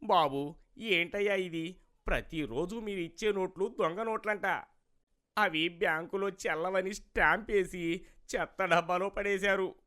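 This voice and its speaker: A man's voice: sits high, a little slow, bright, forceful tone. A man voicing a greedy, wheedling village vegetable seller in a cartoon moral story, with a plaintive manner. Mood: aggrieved, pleading. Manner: rustic, colloquial, plaintive way of talking.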